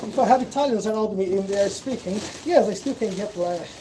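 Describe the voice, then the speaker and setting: A man's voice: high-pitched, brisk. A Brazilian man whose first language is Portuguese, speaking English with a Brazilian accent. He chats casually on a livestream, rambling and correcting himself as he goes. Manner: rambling, self-correcting, conversational. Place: at work, sorting bags. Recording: loud background noise.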